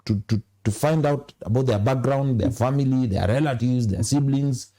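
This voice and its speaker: A man's voice: medium pitch, moderate pace, warm tone. A young Kenyan man with a Kenyan accent, a studio guest on a morning TV show, earnestly lecturing on relationships. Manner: earnest, lecturing, acts out little dialogues.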